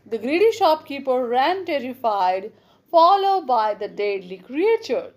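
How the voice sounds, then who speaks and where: A woman narrating a scripted children's bedtime story, reading with deliberate, simple phrasing. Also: sits high, very animated.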